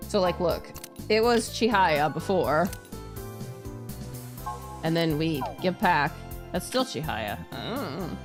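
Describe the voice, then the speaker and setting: Lively low-pitched woman streaming a visual novel. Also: takes it slowly, pauses often, music in the background. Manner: playful, casually sweary. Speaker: streamer, virtual YouTuber. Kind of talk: live visual novel playthrough, reading narration aloud with casual asides.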